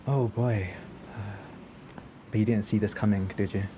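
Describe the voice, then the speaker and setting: A man playing a casually menacing vampire, unhurried and detached, with soft amusement at humans in a tabletop game. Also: on the low side, steady tone, background noise, natural voice. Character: smiling, casually menacing male vampire fascinated by human struggle.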